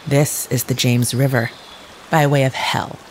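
A woman playing a cold, unsparing otherworldly woman in an audio drama, with measured, formal, precise diction. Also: a bass voice, steady tone, darkish tone, a little hiss or hum. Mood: cold, accusing.

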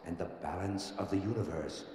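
Guy doing a super deep wise alien creature, slow and solemn, drenched in echo. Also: warm tone, close to an everyday voice. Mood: grave. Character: wise otherworldly male creature mentoring a girl. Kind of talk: audio drama acting. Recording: echoey, long reverb.

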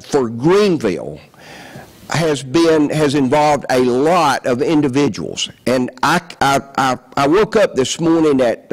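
An older man with a Southern accent talks from a podium in a large conference hall. His delivery is folksy and chatty, full of ums and restarts, at a mid-range pitch. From South Carolina. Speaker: county councilman giving a conference talk. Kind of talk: conference presentation. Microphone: podium microphone.

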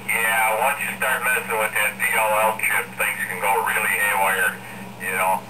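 Lowish male voice over a noisy CB radio. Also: level tone, normal speed. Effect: CB radio transmission. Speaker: CB radio operator giving advice. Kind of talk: casual radio chat. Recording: CB radio speaker, very loud static.

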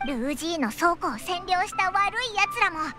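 A woman voicing a tiny, cheeky, childlike fairy companion in an anime-style game, squeaky and excitable. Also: fairly quick. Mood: eager, bold. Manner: bold, blunt, excitable. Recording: loud game music behind the voice.

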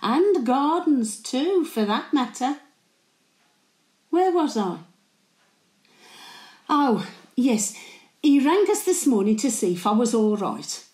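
An older woman playing a chatty, cheeky, warm-hearted working-class Birmingham great-grandmother, gossiping and rambling through a monologue. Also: high-pitched, big pitch swings, slow, pauses often, echoey room, exaggerated voice. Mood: gossipy. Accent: Birmingham (Brummie). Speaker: actor performing a dramatic monologue.

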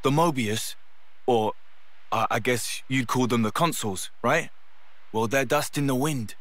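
An actor playing a laid-back, cheerful young British fighter in a video game cutscene, speaking in a breezy, colloquial, reassuring way. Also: male voice, mid-range voice, unhurried, a bit bright, no room sound, natural voice. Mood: firm, reassuring.

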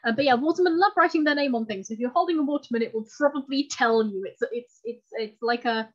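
High-voiced woman on a dull video call. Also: fast talker. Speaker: presenter giving a talk on fountain pens. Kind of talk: informal online presentation. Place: indoor room at home, on a video call. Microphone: video call microphone.

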